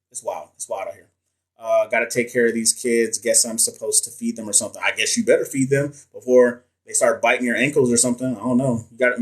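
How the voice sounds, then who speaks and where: A man hosting a casual livestream as a nursing exam coach, answering viewers in loose, joking slang with frequent restarts. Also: mid-range voice. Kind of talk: casual livestream chat reading viewer comments.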